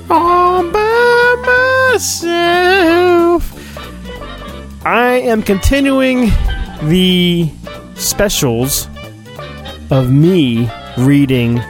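Animated, slow-paced man, playfully mock-dramatic. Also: a little high. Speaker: podcast host. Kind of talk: casual, dramatic read-aloud of a children's storybook.

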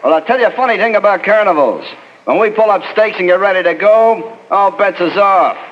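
A man's voice: high voice, expressive, fairly quick. A man acting in a 1940s radio drama as a big, cocky ex-wrestler carnival operator, slangy and blustering. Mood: threatening. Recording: muffled old 1940s radio recording, noisy.

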